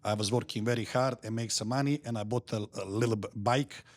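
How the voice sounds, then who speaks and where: A middle-aged man from Montenegro with a Montenegrin accent, talking as a guest in an interview in halting, repetitive, simple phrases. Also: medium pitch. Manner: halting, repeats words, simple grammar. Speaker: guest entrepreneur. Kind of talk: podcast interview.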